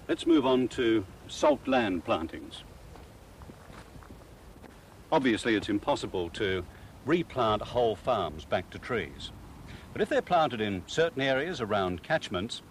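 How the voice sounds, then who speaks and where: A man reading scripted instructional video narration in a formal, measured explanatory manner. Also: fairly low pitch, a little hiss or hum.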